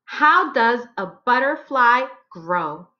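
Woman, 30s, teacher read-aloud: high, animated voice, deliberate phrasing, slightly muffled lo-fi home recording. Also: a little hiss or hum. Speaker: teacher reading picture books aloud. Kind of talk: slow, expressive read-aloud for children.

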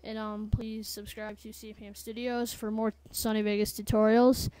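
A woman presenting a casual software tutorial in a halting, informal, offhand way. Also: a little high, little pitch movement, no echo.

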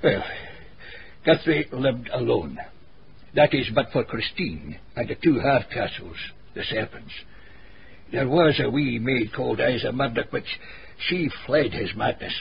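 A man's voice: mid-range voice, lively intonation, a little slow, vocal fry. An actor with a Scottish accent playing a grave, plain-spoken village man in a radio drama. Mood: dour. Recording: muffled radio recording, faint noise.

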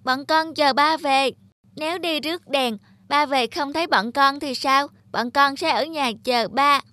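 A high-voiced small cartoon child. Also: female voice, measured pace, sharp tone, no room sound. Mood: stubborn. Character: small children, siblings devoted to their father. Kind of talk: animated moral-tale voice acting. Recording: music under the voice.

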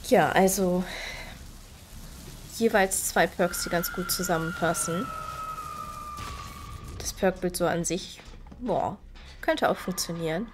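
A woman's voice: fairly low pitch, slow, pauses often, a little hiss or hum. A woman with a stuffy, slightly nasal voice from a cold, chatting casually while she plays and explains game mechanics. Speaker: gaming video creator. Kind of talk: casual gameplay commentary.

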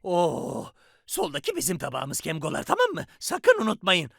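A woman's voice: fairly low pitch, huge pitch swings, normal speed, vocal fry, no room sound, clean studio sound, exaggerated voice. A woman voicing a bumbling, scheming children's cartoon villain who bosses minions around, theatrical, swinging from sly muttering to shrieks. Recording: music under the voice.

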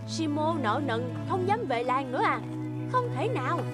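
A woman dubbing villagers in a cartoon, exclaiming in a high, excited way. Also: moderate pace. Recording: loud music bed under voice.

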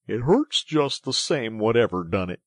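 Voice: medium pitch, big pitch swings, normal speed, no room sound, clean studio sound. A narrator voicing a grumpy, injured mole from a storybook, plaintive, complaining and stubbornly literal, with emphatic delivery. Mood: impatient. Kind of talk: animated storybook character reading.

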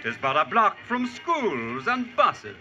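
A pushy, eager medieval salesman in an old radio drama. His high voice declaims archaic phrases, muffled by the vintage recording over music. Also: very animated, takes it slowly, full character voice. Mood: eager, persuasive. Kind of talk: 1940s radio drama acting.

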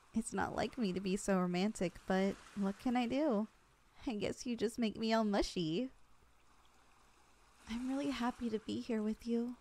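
Soft-spoken young woman in ASMR roleplay. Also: fairly high pitch, measured pace, pauses often, slight background noise. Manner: soft, affectionate, teasing. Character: loving long-distance girlfriend, young woman, teasing and tender.

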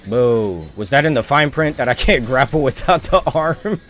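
A man's voice: fairly high pitch, a bit bright. A man doing casual live gameplay commentary as a streamer, talking to himself in slangy, sweary asides. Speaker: game streamer. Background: music and game audio.

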